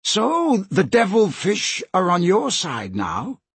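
A man acts out a gloating pirate villain for a storybook. The voice is very husky and rough-edged, swinging up and down as he taunts and threatens in coarse, ungrammatical speech. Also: high voice, huge pitch swings, slow, dry recording, voice pushed up, exaggerated voice. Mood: sly, probing. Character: menacing, gloating grown male pirate villain with a knife.

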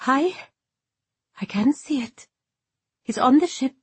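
A woman voicing a reserved young witch in an audiobook, speaking formally and precisely with a flat, dry earnestness. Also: sits high, slow, slightly rough, dry recording, pitched above the performer's own voice, full character voice.

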